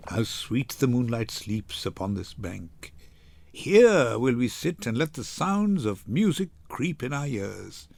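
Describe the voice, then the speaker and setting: Man playing a tender, poetic gentleman lover. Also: mid-range voice, very animated, creaky, no echo, voice pushed down, heavily acted. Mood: tender, rapt. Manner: lyrical, measured, tender. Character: Lorenzo, romantic, poetic young gentleman lover. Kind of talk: dramatic Shakespeare reading.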